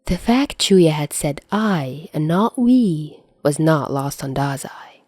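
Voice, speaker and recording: A woman's voice: sits low, expressive, unhurried, a little mellow, no echo, clean studio sound. A woman reading a scripted piece of fiction as its narrator.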